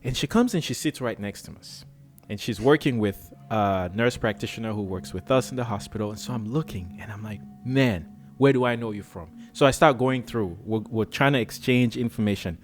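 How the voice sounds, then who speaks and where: A man from Cameroon hosting a podcast, telling a personal story in a lively, casual way and acting out the dialogue. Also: fairly quick. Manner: animated, acts out dialogue with swings in pitch. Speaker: podcast host.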